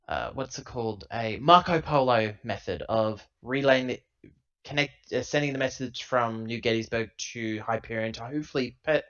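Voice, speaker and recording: A man playing a tabletop roleplaying game, improvising casually and thinking out loud in a rambling way, on a lo-fi laptop recording. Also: medium pitch.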